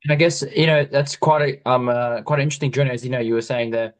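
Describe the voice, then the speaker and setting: An Australian podcast host interviewing a guest, rambling with many "you know"s. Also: male voice, medium pitch, steady tone, fast. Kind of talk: interview chat.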